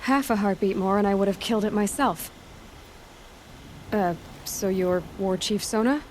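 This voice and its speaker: An actress playing a young, self-assured huntress heroine in a video game, with a wry, conversational delivery. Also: female voice, mid-range voice, moderate pace, darkish tone, slight background noise, close to an everyday voice, low-quality recording. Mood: confident, wry. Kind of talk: naturalistic video game acting. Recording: faint music behind the voice.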